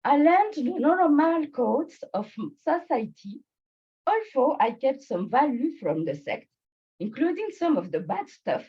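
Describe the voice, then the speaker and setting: Slow-speaking woman with a French accent. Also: mid-range voice, slightly rough, muffled recording. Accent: French and Swiss French. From born in Bangladesh, raised in France. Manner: slow, deliberate delivery with pauses. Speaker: club speaker. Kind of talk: prepared personal speech.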